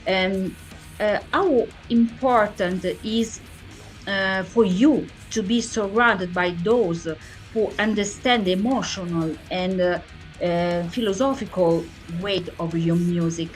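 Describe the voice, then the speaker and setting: A woman interviewer who is not a native English speaker, asking questions haltingly as she searches for words. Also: medium pitch, soft background music, poor audio.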